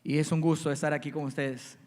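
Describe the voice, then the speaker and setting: A man's voice: a little high, flat delivery, brisk, a little mellow. Man in a reverberant hall. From Guayaquil, Ecuador. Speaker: panelist, church ministry leader. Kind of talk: self-introduction at a Q&A panel.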